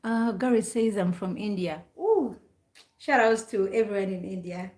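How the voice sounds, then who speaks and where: A woman from Uganda hosts a relaxed live stream at a middle pitch. She is chatty and repeats her questions as she greets viewers by name. The room gives her voice a noticeable echo. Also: normal speed. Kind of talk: casual live stream chat.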